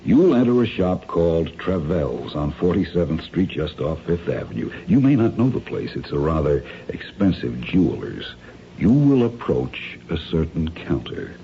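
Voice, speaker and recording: An actor playing a calculating crime schemer in a radio mystery, measured, formal and condescending, with cool menace. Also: male voice, sits low, very animated. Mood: calmly instructing. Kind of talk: radio mystery drama acting. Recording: dull, hissy old radio recording.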